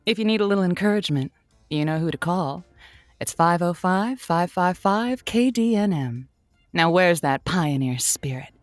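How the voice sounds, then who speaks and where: A woman's voice: on the low side, animated, normal speed, no echo, faint music behind, close to an everyday voice. An actress playing a sultry, playful woman who hosts a lonely-hearts radio call-in show with theatrical, encouraging patter. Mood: rousing, seductive. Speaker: late-night radio call-in show host. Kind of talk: radio drama, on-air monologue and chat.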